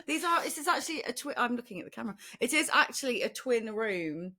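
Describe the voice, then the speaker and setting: A British woman co-hosting a podcast in casual chat, telling stories in an animated, chatty way. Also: fairly high pitch, fast talker. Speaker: podcast co-host.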